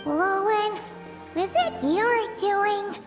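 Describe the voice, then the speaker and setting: A woman voicing a tiny, pert fairy guide in a video game, with a squeaky childlike voice and blunt, sassy questioning. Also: very slow, full character voice. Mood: wry, doubtful. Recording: loud music behind the voice.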